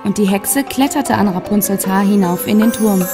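A woman's voice: mid-range voice, normal speed, over music. A woman storyteller reading a scripted fairy tale for children as narration.

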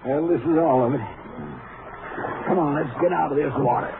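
An actor playing a folksy, plain-spoken sheriff in a 1950s radio western. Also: male voice, a little high, moderate pace, gravelly. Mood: eager, satisfied. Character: folksy, easily convinced male western sheriff. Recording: noisy, muffled 1950s radio recording.

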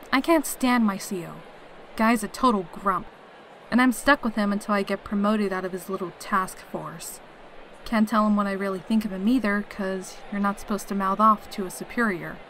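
A woman's voice: mid-range voice, a bit bright, slight background noise, lightly acted, poor audio. A woman voicing a carefree, teasing female pegasus soldier in a fan audio drama. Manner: breezy, teasing, cheerful.

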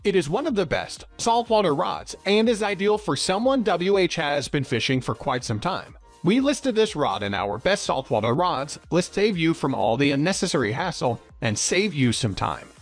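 A man's voice: a little high, sing-song, moderate pace, few pauses, soft background music. A man reading a scripted product review as narrator.

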